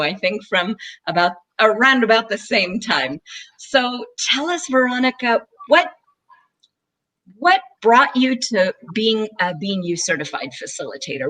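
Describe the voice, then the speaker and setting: A woman from Israel co-hosting a casual online interview over a video call, bubbly and quick to laugh, often restarting her sentences. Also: medium pitch, a bit bright, low-quality recording. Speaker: co-host.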